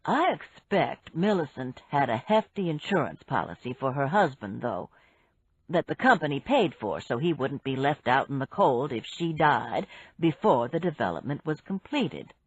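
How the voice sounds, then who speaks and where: A woman reading a calm middle-aged man who patiently explains business matters. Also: rumbling low, normal speed, breathy edge, no room sound, voice pushed down, exaggerated voice. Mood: explaining. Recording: dull, dry lo-fi recording.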